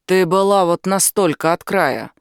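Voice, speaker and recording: A woman's voice: lowish voice, lively intonation, measured pace, clear, resonant tone, dry recording, clean studio sound. A woman reading an audiobook, voicing a blunt, commanding and protective man who explains things firmly. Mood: angry, alarmed. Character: angry, protective British man, outdoorsy and knowledgeable. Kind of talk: audiobook character dialogue.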